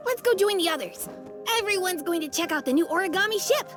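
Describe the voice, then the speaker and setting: A woman voicing a small, sweet, innocent young dragon in an animated game, with a childlike voice. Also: very high voice, fast talker. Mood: cheerful, curious. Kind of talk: animated game character acting. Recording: music behind the voice.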